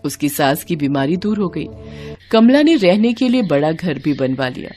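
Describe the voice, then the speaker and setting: A woman's voice: sits low, very animated, normal speed, over music. A woman narrator reading a scripted devotional moral story.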